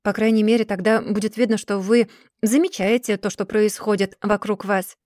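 A woman's voice: mid-range voice, steady tone, normal speed, a little mellow, no echo, studio quality, lightly acted. Woman reading as a haughty cold society wife. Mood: scolding. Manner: cool, scolding, superior.

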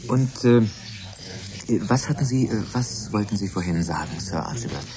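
A man plays a deferential scientist in a radio play. His voice is very low yet animated in pitch, and he speaks with hesitant, awkward politeness. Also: normal speed, crowd noise, close to an everyday voice. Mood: tentative. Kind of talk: German radio drama acting.

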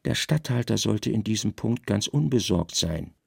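A man playing a grave, calculating Roman secret service chief who speaks calmly, deliberately and deadpan in a literary radio drama. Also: on the low side, vocal fry, husky, no echo, studio quality, heavily acted.

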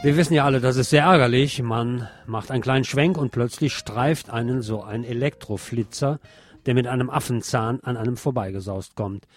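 A man's voice: mid-range voice, normal speed, music in the background. A man reading scripted radio news and commentary, adding opinionated asides of his own.

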